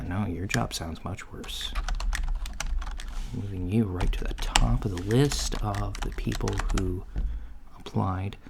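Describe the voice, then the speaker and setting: Very deep, gravelly, laid-back alien interviewer played by a man. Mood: casual, reassuring. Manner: hesitant, mumbling, matter-of-fact. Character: laid-back male alien job interviewer, casually bureaucratic. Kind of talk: ASMR roleplay. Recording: faint noise, lo-fi ASMR, keyboard sounds. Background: computer keyboard typing and clicks.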